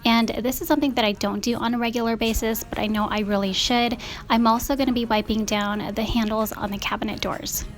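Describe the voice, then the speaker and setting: A woman's voice: fairly high pitch, fairly even intonation, a little fast, hardly any pauses. A woman narrating her household chores in a conversational, explanatory voice-over, as a home vlogger would. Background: music behind the voice-over.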